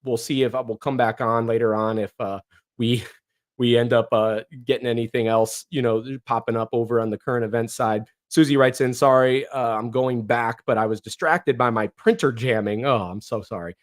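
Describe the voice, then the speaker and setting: A man with a hoarse, worn voice chats casually on a livestream and reads viewer comments aloud. He rambles, restarts his sentences and fills the gaps with ums and uhs. He grew up in northeastern Ohio, and his voice sits at a middle pitch. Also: fairly even intonation, normal speed. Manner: rambling, many fillers and restarts. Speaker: livestream host.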